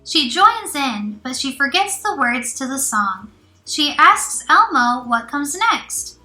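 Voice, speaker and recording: A woman reads a children's storybook at a slow pace, as a teacher does for young listeners. Her voice is high and very lively, and she adds encouraging asides. The room is echoey. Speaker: teacher reading aloud. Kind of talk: children's storybook read-aloud. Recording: roomy echo, faint music.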